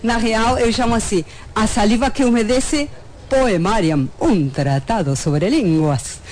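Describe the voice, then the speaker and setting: A woman's voice: a little high, measured pace, darkish tone, slight background noise. A woman from Argentina with an Argentine Spanish accent, a native Spanish speaker, talking as a poet guest in Portuguese, laughing often and hesitating.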